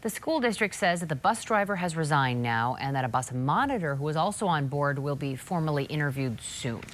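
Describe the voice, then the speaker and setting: A woman's voice: low voice, expressive, fairly quick. An American woman reading scripted television news as an anchor.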